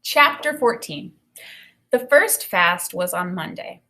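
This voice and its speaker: A woman in her thirties, an elementary teacher, reading a children's novel aloud and explaining it in an expressive way. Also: lowish voice, slow pace. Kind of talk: read-aloud of a children's novel with think-aloud commentary. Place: small indoor room with bookshelf and whiteboard.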